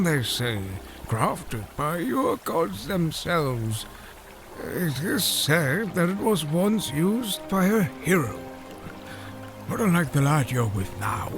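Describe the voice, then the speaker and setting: High-pitched, solemn old fish-folk advisor. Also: male voice, full character voice. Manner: formal, deliberate, solemn storyteller. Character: old, grave, scholarly male fish-folk royal advisor. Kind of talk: fantasy audio drama acting.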